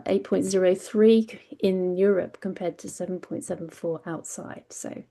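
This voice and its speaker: A woman's voice: fairly low pitch, vocal fry, mellow. A British woman presenting survey results on a webinar, hesitant with frequent ums and self-corrections. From Britain. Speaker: webinar panelist.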